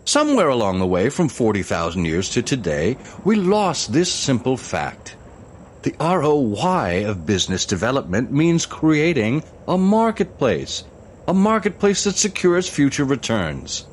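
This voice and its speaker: Theatrical, wildly swooping visionary. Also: male voice, mid-range voice, wildly swooping pitch, unhurried, a little hiss or hum. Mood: earnest lecturing. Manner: theatrical, declamatory. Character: excited visionary man lecturing his CEO on business. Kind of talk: audio musical drama acting.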